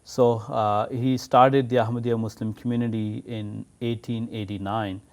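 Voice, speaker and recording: A man's voice: medium pitch, little pitch movement, a little slow. A man from Pakistan, an imam patiently explaining his faith in an interview. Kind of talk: interview answers.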